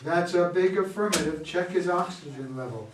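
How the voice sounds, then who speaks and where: A man's voice: sits high, normal speed, voice pushed up, natural voice. A man playing an urgent mission controller giving orders to astronauts in an amateur play reading. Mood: urgent, official. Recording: echoey room, distant mic, faint noise.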